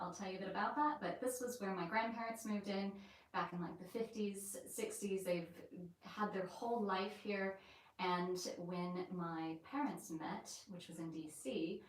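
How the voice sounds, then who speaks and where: A woman's voice: medium pitch, normal speed. A woman with an American accent mixed with a light Yorkshire lilt, hosting a chatty, rambling live stream from inside an old house. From England and the United States. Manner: chatty and rambling, with many fillers. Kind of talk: casual live-stream chat. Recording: very echoey room, mic at a distance.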